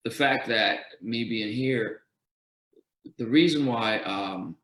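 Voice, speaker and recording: A man's voice: medium pitch, takes it slowly, fairly bright tone. Earnest man counting off his points. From Denmark. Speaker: guest entrepreneur. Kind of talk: answering questions at a town hall. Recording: roomy echo.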